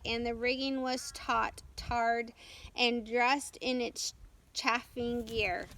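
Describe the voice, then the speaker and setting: A woman reading a storybook aloud as narrator, carefully and haltingly, with stumbles and self-corrections. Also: high voice, fairly bright tone, a little hiss or hum, rough recording. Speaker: storytime reader.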